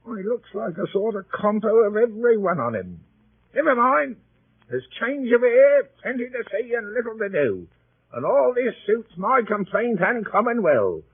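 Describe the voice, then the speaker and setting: An actor playing a jaunty, quick-witted Cockney manservant full of folksy sayings, in old radio drama style. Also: male voice, high-pitched, big pitch swings, breathy edge, exaggerated voice. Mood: self-satisfied. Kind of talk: 1930s radio acting. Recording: muffled, scratchy 1930s radio broadcast.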